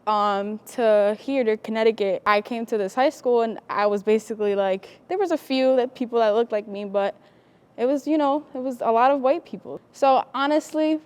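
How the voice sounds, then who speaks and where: A young adult woman from the Dominican Republic, a student panelist, speaking in a soft, reflective, thinking-aloud way. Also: a little high, clear, resonant tone. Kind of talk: casual panel conversation.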